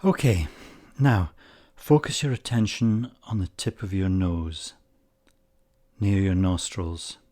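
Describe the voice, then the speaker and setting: A man leading a guided meditation in calm, measured short phrases with long pauses. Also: low voice.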